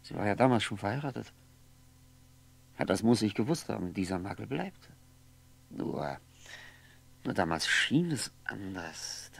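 Lonely brooding drinker, gravelly creak, halting, repetitive, wistful and sardonic, vintage radio drama. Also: male voice, lowish voice, lively intonation, full character voice. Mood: reminiscing. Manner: halting, musing, repeats words, trails off. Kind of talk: 1960s German radio play monologue.

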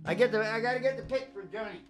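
A man's voice: sits high, rapid-fire. Playful older man hosting over guitar. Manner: rambling, playful, repeats himself. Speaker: radio show host and country singer. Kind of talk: casual joking banter between songs. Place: small wood-panelled room indoors. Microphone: stand microphone up close. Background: acoustic guitar playing.

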